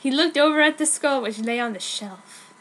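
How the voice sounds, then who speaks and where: A woman's voice: high-pitched, moderate pace, a bit bright. A woman giving a comic dramatic reading of fan fiction in a mock-dramatic, exaggerated manner. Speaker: amateur fan fiction reader.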